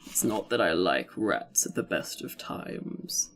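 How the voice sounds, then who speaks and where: A woman's voice: expressive, soft background music, exaggerated voice. A woman voicing a sly, unimpressed storybook cat with a silky, drawling delivery. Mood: smug, pleased. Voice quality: smooth, low, silky. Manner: drawling, conversational, deliberate. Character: sly, unimpressed talking cat, smug and languid.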